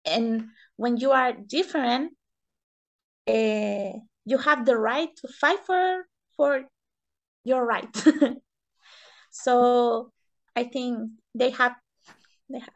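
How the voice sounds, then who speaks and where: A woman from Chile with a Chilean Spanish accent, giving interview answers in halting non-native English and searching for words. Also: a little high. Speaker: interview guest, economist and feminist.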